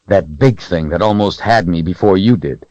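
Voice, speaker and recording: A stern young swordsman presses firm, threatening questions, as a male narrator voices him. The voice is deepish and lifted only slightly from the narrator's own. The recording sounds dry and a little dull. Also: moderate pace, darkish tone, no room sound, natural voice.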